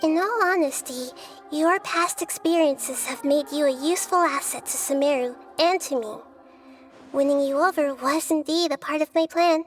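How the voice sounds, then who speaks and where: A woman plays a gentle, childlike goddess from a video game. The voice is high and the delivery measured, serene and earnest, with music underneath. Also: lightly acted. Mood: sincere. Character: gentle, wise young goddess, small childlike female ruler.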